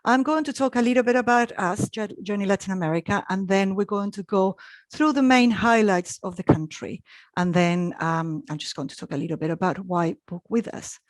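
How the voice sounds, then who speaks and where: A woman's voice: medium pitch, brisk, dry recording. A middle-aged woman from Chile, presenting a live online travel talk in a chatty way, with frequent ums and restarts. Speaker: travel expert presenting a webinar.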